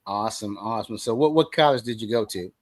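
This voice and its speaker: A man's voice: on the low side, fast, no room sound. A man hosting an interview show, asking easygoing questions and often repeating his words. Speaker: show host.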